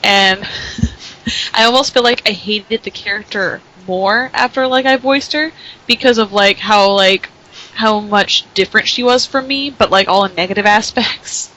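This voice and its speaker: A woman's voice: mid-range voice, a little slow, sharp tone, poor audio. A woman voice actor chatting casually on a podcast, giggling and full of fillers. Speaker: podcast guest, voice actress. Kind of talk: casual chat.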